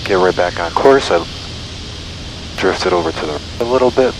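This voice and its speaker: Male pilot, low register, casual self-narrating chat on headset in a noisy small plane cabin. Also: normal speed. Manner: talks self through tasks. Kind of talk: casual cockpit chat, narrating own flying. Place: small propeller plane cockpit in flight. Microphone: aviation headset. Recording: loud steady aircraft cabin noise.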